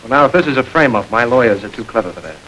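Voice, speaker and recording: An actor playing a smooth, mocking gang boss who stays unruffled under questioning, in a 1940s film. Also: male voice, medium pitch, lively intonation, racing, bright, forceful tone, slight background noise, rough recording. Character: suave smug male gang boss, adult.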